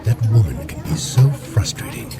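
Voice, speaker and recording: A man's voice: on the low side, very animated, normal speed, over a noisy crowd. A man playing a stern, brusque hospital security chief in an audio drama, curt and officious. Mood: curt, official.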